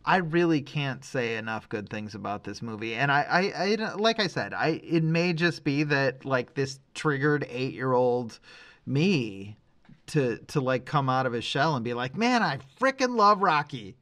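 A man's voice: medium pitch, lively intonation, a little slow, fairly bright tone. A man co-hosting a podcast, discussing film casually, making his points emphatically and explaining as he goes. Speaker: podcast co-host.

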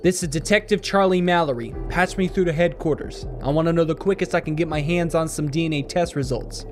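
A man's voice: on the high side, fairly quick, lightly acted. A man playing a driven, hot-tempered police detective with a troubled past in an audio drama, terse and confrontational. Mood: firm, businesslike. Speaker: voice actor. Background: music.

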